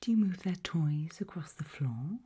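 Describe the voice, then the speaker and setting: A British woman whispering an ASMR roleplay in a breathy voice, putting slow, deliberate questions with long pauses. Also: sits low, expressive, warm tone.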